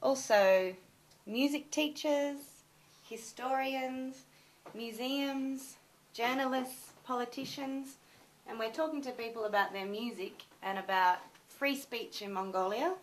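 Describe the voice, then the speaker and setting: A twenty-nine-year-old Australian woman briskly showing and listing the parts of a documentary project. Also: on the high side, rough recording. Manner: brisk, runs through lists. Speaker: documentary crew member. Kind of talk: casual explanatory talk to camera.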